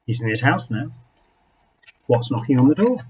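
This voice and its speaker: A man, a rabbi, reading a picture book aloud to young children expressively, with dramatic pauses and small asides. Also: mid-range voice, very animated, a little mellow, a little hiss or hum, poor audio.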